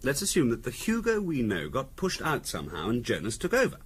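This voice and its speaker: An actor playing a calm, quietly insinuating middle-class husband in a 1970s radio drama, musing aloud in a deliberate, conversational way. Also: male voice, mid-range voice. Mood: musing, speculative. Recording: noisy 1970s radio recording.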